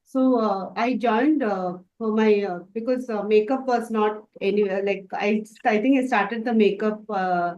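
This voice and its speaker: A woman's voice: medium pitch, measured pace, few pauses, a little mellow, reverberant, dull audio. A woman from Dubai answering interview questions about her makeup work, hesitant and full of fillers and restarts. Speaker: makeup artist student.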